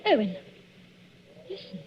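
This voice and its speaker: An actress playing an anxious, guarded young wife who protests uneasily, in a 1940s radio suspense style. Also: female voice, slow pace, music in the background, close to an everyday voice. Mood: frightened. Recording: muffled, noisy old radio recording.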